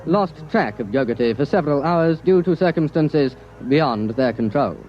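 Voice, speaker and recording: An actor playing a matter-of-fact narrator reading an official report in 1950s radio drama style. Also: male voice, fairly high pitch, expressive, normal speed. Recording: muffled old radio recording over loud music.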